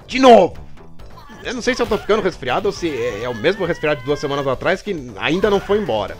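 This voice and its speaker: Brazilian man with a cold, let's play host, wildly swinging pitch, faint game music. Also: sing-song, fast. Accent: Brazilian Portuguese. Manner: jokey, voices invented dialogue for characters. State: catching a cold. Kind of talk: comic let's play commentary.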